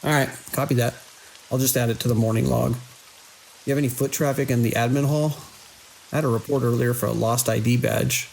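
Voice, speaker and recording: An actor playing a weary, watchful security chief in an audio drama, speaking in a dry, measured way. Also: male voice, medium pitch, fairly even intonation, creaky, a little mellow, natural voice, poor audio. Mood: dry, commanding. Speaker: security lead. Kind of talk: audio drama, logs and radio dialogue. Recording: hiss and rain-like noise.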